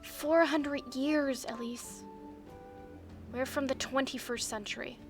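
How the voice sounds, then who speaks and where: Casting: kind teen heroine voiced by a woman, lightly high pitch, earnest and reassuring, faint music behind. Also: steady tone, slow. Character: earnest, kind teenage magical girl. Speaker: voice actor in a fan dub.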